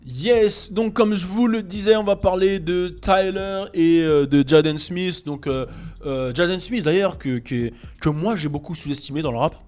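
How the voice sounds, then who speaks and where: French guy hosting a rap chat, kinda high voice, lots of euh and restarts. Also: moderate pace, hardly any pauses, faint music behind. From France. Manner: relaxed, many fillers and restarts. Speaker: podcast host. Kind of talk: casual chat about rap.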